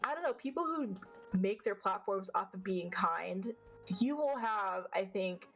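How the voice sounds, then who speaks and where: A woman with a deeper voice and a slight stutter, chatting fast and bluntly as an interview guest, swearing freely. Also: animated, fairly bright tone, soft background music. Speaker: vtuber guest. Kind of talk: casual interview chat.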